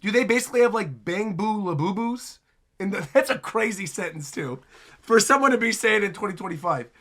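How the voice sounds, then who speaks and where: A man with a high, bright voice hosts a reaction video. He chats casually and emphatically, his pitch jumping around in an animated way. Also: fairly quick.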